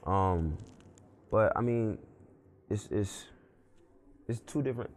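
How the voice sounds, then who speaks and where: A man's voice: fairly low pitch, takes it slowly. A young man from Brooklyn, New York, chatting casually as an interview guest, laid-back and full of fillers like "you know what I'm saying". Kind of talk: casual conversation.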